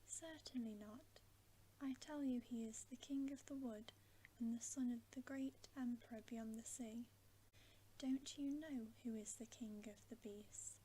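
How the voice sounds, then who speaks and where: A stern, loyal talking beaver from a storybook , put on by a woman. He speaks in a slow, earnest hush, stressing his words, with a soft mellow tone. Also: fairly high pitch, little pitch movement, slight background noise, rough recording. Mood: stern, reverent. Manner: emphatic, earnest, hushed. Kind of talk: whispered storybook character reading.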